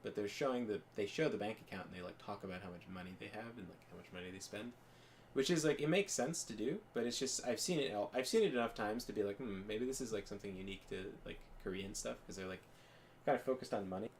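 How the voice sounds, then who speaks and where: A man's voice: fairly low pitch, quick pace, a little hiss or hum. A young man chatting casually as a podcast co-host, rambling with lots of fillers and restarts. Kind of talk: casual chat while gaming.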